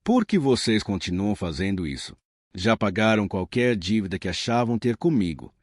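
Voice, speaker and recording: A man's voice: on the low side, big pitch swings, moderate pace, dry recording, studio quality. A man reading the lines of a humble, determined woman in her late thirties, earnest and modest.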